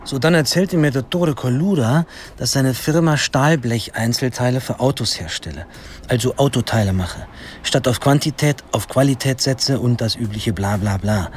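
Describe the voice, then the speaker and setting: A man's voice: medium pitch, moderate pace, a little hiss or hum, lightly acted. An actor playing a wry young man narrating his own story in a radio drama, ironic and mock-solemn. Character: wry, self-aggrandising young male narrator recalling his youth. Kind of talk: scripted first-person drama narration. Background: music.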